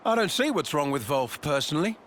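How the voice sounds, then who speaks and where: A man's voice: on the high side, sing-song, brisk, a bit bright, slight background noise. A man voicing an easygoing, casually bantering military officer in a video game. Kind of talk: scripted video game voice acting.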